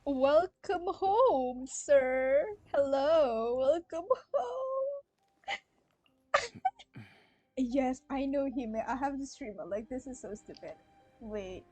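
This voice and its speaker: A young woman from Malaysia, an excitable game streamer chatting casually and exclaiming to her live viewers. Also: sits high, slow, pauses often, dry recording, muffled recording. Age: young adult.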